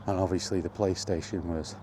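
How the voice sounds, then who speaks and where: A man's voice: low voice, steady tone, fairly quick, noisy background. A middle-aged man rambling casually in a personal gaming vlog, reminiscing in an informal way. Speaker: gaming vlogger. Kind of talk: casual rambling chat about retro gaming.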